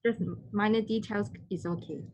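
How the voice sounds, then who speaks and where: A woman moderating an online question session in a polite, formal, halting way over a slightly dull call connection. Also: mid-range voice, steady tone, darkish tone.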